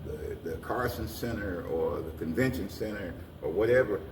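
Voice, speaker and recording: An older American man giving an informal interview, talking in a halting, folksy way with repeated phrases. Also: fairly low pitch, expressive, darkish tone. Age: 60s or older. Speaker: interview guest, community leader. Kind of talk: informal talk-show interview. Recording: roomy echo, distant mic, faint noise.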